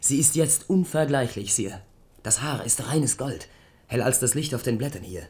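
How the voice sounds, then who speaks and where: A man's voice: medium pitch, lively intonation, moderate pace, a little mellow, close to an everyday voice. Earnest, deferential young duke man acting. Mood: rapturous, eager. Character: handsome thirty-year-old duke, devoted courtier in love. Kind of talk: German radio drama acting.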